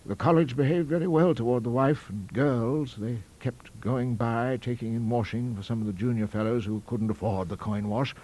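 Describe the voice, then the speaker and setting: A man's voice: medium pitch, steady tone, moderate pace, hardly any pauses, dark tone, voice pushed up, natural voice, poor audio. A man voicing a fussy, delicate college master in a ghost story, with a hesitant, complacent, rambling manner. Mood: confiding, hesitant.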